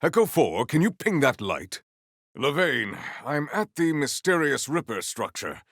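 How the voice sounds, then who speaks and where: An actor playing a proud, honorable warrior in a video game, with formal, stately, theatrical diction. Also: male voice, on the high side, very animated, unhurried, fairly bright tone, dry recording, studio quality, exaggerated voice. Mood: measured, curious. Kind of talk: video game character voice lines.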